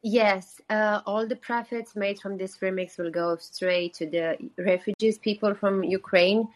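A woman's voice: medium pitch, fairly even intonation, unhurried, rough recording. A young woman from Romania, speaking with a Romanian accent, who chats earnestly and uses "like" a lot as the guest on a call-in interview. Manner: chatty and earnest, with frequent "like" fillers. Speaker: singer-songwriter guest.

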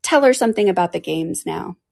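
An impatient, bossy male Griffin from a storybook, as a woman reader puts him on. He barks short orders in a raised, loud voice. Brusque and commanding throughout. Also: lowish voice, animated, a little fast, creaky. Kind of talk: storybook reading.

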